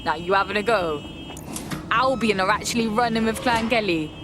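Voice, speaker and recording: An actress playing a streetwise young London hacker activist in a game, with a slangy, blunt, casual delivery. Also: female voice, medium pitch, normal speed, close to an everyday voice. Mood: concerned, determined. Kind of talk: game voice acting. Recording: clear background vehicle noise.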